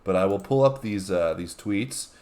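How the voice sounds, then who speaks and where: A man co-hosting a show in casual conversation, speaking hesitantly with many pauses. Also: sits low, animated, darkish tone. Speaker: show co-host.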